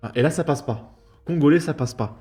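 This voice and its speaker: Man, online commentator, indignant, rhetorical questions, slightly high voice, music underneath. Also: fairly quick, dark tone. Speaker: YouTube commentator. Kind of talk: opinion commentary.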